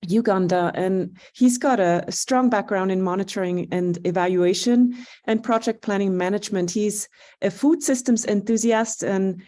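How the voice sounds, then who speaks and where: A woman moderating an online session, introducing the next speaker with halting speech and many fillers. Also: mid-range voice, dark tone.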